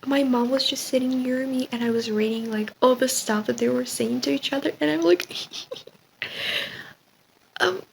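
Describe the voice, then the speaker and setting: A woman's voice: high voice, unhurried, vocal fry, pauses often, slight background noise, rough recording. A young woman, for whom English is a third language, chatting casually and gushingly about books in a reading vlog. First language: not English; English is her third language. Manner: chatty, gushing, restarts often. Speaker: book vlogger.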